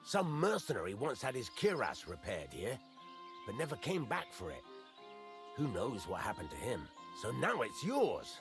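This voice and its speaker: An actor playing a gruff, fatherly middle-aged master blacksmith in a medieval video game, blunt and folksy, teasing as he talks. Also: medium pitch, huge pitch swings, normal speed, music in the background. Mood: gruff, relieved. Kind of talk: video game dialogue acting.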